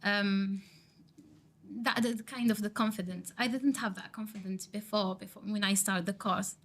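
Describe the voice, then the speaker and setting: A woman whose first language is Arabic, a student teacher answering podcast questions haltingly. She often restarts and searches for words. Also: medium pitch, steady tone.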